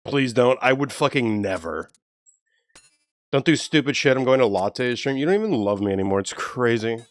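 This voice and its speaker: A young man with a low, even voice, chatting casually and swearing freely with his live stream chat. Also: normal speed, no echo. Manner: laid-back, profane, joking with chat.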